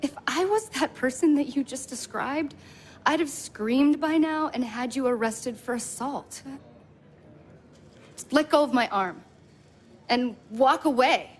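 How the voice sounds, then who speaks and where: Audio drama role: wounded young woman, high voice, pushy and confrontational, naturalistic delivery. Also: unhurried, pauses often. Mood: bitter, defiant. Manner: insistent, confrontational.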